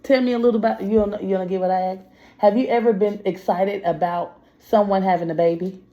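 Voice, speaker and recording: A woman's voice: mid-range voice, steady tone, fast talker, highs cut off. A woman teacher reading a picture story aloud to children and prompting them with simple comprehension questions in informal speech. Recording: echoey room.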